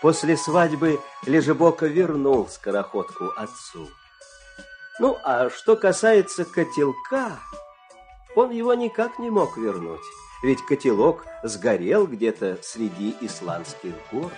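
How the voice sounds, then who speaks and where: A man narrating a fairy tale dramatically, expressive, with measured pauses. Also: on the high side, soft background music.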